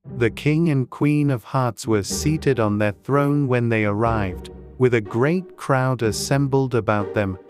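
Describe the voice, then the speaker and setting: A man reading scripted storybook narration as an audiobook narrator. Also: medium pitch.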